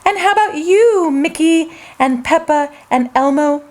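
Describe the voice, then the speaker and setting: A woman's voice: high-pitched, animated, a little mellow. A woman voicing a friendly male polar bear in a storybook, humming and musing over what to eat. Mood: pondering. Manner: musing with drawn-out hums.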